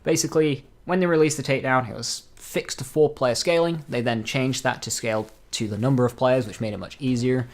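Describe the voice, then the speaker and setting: A man talking casually through game news as a video creator, informal and dropping dry, joking asides. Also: medium pitch. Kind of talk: casual gaming news commentary.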